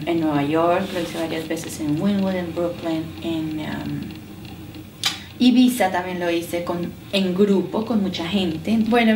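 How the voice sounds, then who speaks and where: Colombian woman in a noisy, echoey room. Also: fairly low pitch. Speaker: artist and designer being interviewed. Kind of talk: interview answers about her own life and work. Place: indoors in a penthouse. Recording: roomy, distant mic, clear background noise.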